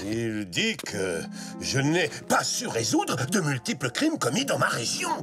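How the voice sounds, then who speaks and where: A man's voice: mid-range voice, wildly swooping pitch, unhurried. A man playing a blustering, suspicious army commander in a cartoon dub, pompous and barking his orders. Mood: scornful. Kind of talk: French cartoon dub acting. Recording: music under the dialogue.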